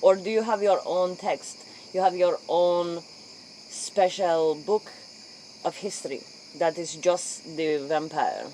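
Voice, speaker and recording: A woman's voice: on the low side, slow pace, dark tone, slight background noise, poor audio. A woman playing an earnest, curious interview host, rambling in broken English in a spoof late-night show. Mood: pondering, earnest.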